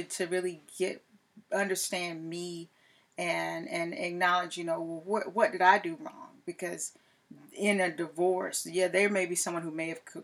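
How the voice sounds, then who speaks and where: A woman in her forties talking candidly to a camera as a podcast host, reflective and unhurried, with frequent fillers and restarts. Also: fairly low pitch, fairly bright tone. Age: 40s. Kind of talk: casual personal talk to camera.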